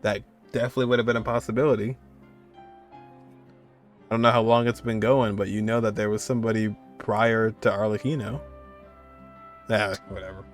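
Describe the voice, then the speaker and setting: A man's voice: lowish voice, steady tone, normal speed, long pauses. A man streaming a game playthrough, thinking aloud in an informal, teasingly exasperated way with game music behind him. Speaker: gaming streamer. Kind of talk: casual playthrough commentary.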